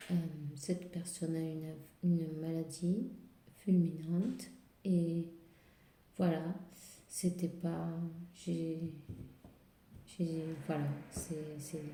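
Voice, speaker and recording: A woman with a slight cold and a hoarse voice, giving hesitant spiritual advice to viewers full of fillers and restarts. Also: deep voice, mellow, slight background noise. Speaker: tarot and spiritual reader. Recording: roomy echo.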